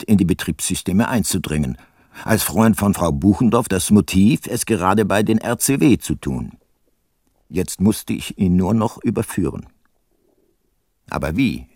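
An actor playing a shrewd, self-assured older private detective in a radio play, speaking in a measured, dry and probing manner. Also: male voice, sits low, a little mellow, breathy edge, no room sound, heavily acted. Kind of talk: radio play narration and dialogue.

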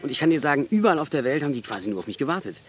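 An actor playing a wry, skeptical young backpacker in a naturalistic German radio drama. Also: male voice, a little high, very animated, rapid-fire, faint music behind. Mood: boastful, wry. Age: young adult. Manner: wry, drily ironic.

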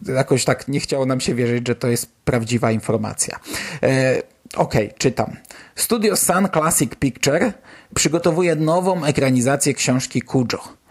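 A man's voice: medium pitch, moderate pace, breathy edge. A man presenting news on a podcast in a colloquial, enthusiastic way, as its host. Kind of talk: casual news commentary on a podcast.

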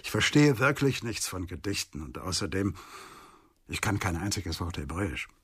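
Weary middle-aged lawyer, husky voice, halting, reflective, radio crime drama. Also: male voice, sits low, sing-song, fairly bright tone, full character voice. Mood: wistful, reflective.

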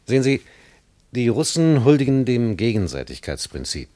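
A man's voice: medium pitch, very animated, moderate pace, dark tone, dry recording, lightly acted. A man playing a self-assured, wary, chummy West German diplomat who lectures in a German radio play. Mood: emphatic, wary. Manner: lecturing, chummy, punctuated with small tag questions.

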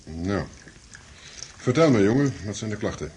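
A man acts a busy family doctor in a radio drama. His voice is fairly low and his delivery brisk and businesslike, over faint recording noise. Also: lively intonation, a little mellow, lightly acted. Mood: brisk, courteous. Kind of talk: Dutch radio play acting.

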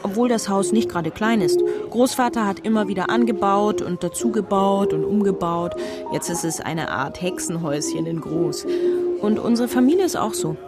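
A woman's voice: medium pitch, normal speed, close to an everyday voice. An actress as candid young woman, music behind. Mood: playful, confiding. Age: young adult. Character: candid, troubled young woman from an odd wealthy family. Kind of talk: radio play dialogue.

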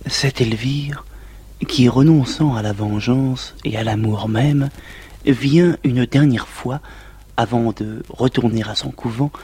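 A man narrating scripted scene links of a classical play in a measured, hushed way with dramatic pauses. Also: medium pitch, slightly rough.

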